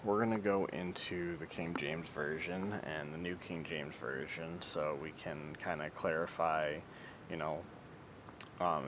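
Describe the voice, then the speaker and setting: Gravelly deep-voiced man teaching scripture. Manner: hesitant, many ums, apologetic. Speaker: amateur Bible teacher. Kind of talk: informal Bible teaching talk. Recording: dull lo-fi recording with low rumble.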